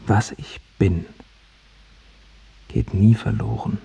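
An actor playing a confused, dying man with failing memory, husky and stubbornly repetitive, in a German radio drama. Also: on the low side, steady tone, takes it slowly, mellow, exaggerated voice. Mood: weak, muttering.

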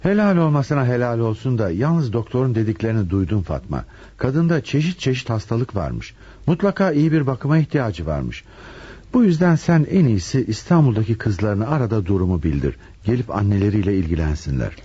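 An actor in a radio drama playing a gruff but decent neighbour husband, husky, opinionated and disapproving. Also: male voice, fairly low pitch, sing-song, brisk, dark tone. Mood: indignant. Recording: dull lo-fi radio recording.

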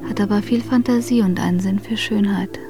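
A woman playing a devoted young exiled noblewoman in a radio drama, reading her letters in a measured, intimate and formal way. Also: medium pitch, steady tone, music in the background, lightly acted. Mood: quietly content.

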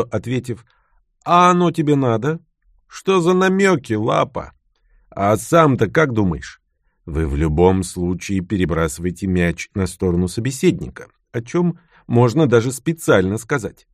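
A man reading a scripted self-help text, with lively, acted-out delivery of the quoted retorts. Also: medium pitch, moderate pace, no echo, clean studio sound. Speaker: narrator. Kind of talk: scripted book narration.

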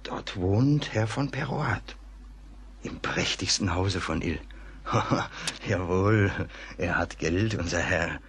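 An actor playing a superstitious, gossipy rural servant in a German radio play, dramatic and exclaiming. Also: male voice, sits low, takes it slowly, fairly bright tone, a little hiss or hum, full character voice. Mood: sly, amused.

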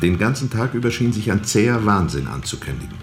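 An actor playing a brooding steamboat captain in a radio play, telling his story in a reflective, deliberate, formal and disillusioned way. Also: fairly low pitch, big pitch swings, soft background music. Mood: brooding recollection. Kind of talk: radio play narration, literary monologue.

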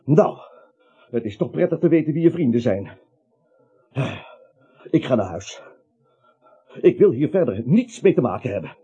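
Comic radio drama: nervous wrongly accused little man, whining and sarcastic, husky, mellow tone, muffled recording. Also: mid-range voice, sing-song, normal speed, pauses often. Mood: sarcastic, weary. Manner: whining, pleading, dryly sarcastic. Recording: dull lo-fi radio play recording.

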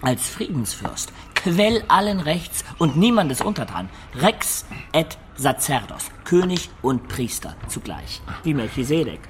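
A man's voice: fairly high pitch, sing-song, a little slow, few pauses, a little hiss or hum. An actor playing a worldly medieval man who explains political intrigues emphatically and expressively in a radio drama. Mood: animated, sly.

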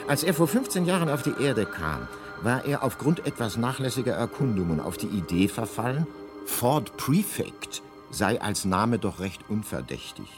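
An actor playing a wry, omniscient guidebook narrator, a man with deadpan irony, in scripted radio comedy. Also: medium pitch, moderate pace, music in the background, natural voice.

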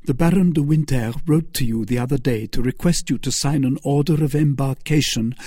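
A man's voice: medium pitch, fairly quick, mellow, breathy edge, no room sound, voice pushed up, natural voice. A man voicing an earnest young male officer, intense and pleading, his voice raised and urgent. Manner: urgent, rising, insistent.

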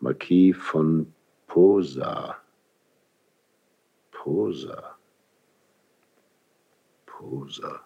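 An actor playing a grave, proud, solitary ageing king in a classical radio drama, speaking in measured, imperious verse with weighty pauses. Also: very deep voice, dark tone, breathy edge, highs cut off, heavily acted. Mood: brooding, solemn.

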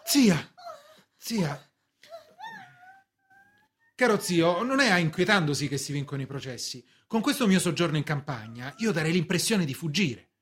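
An actor playing an evasive, composed young bank official in a radio drama, formal, measured and defensive, with a husky voice. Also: male voice, on the high side, sing-song, fairly bright tone, heavily acted. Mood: uneasy.

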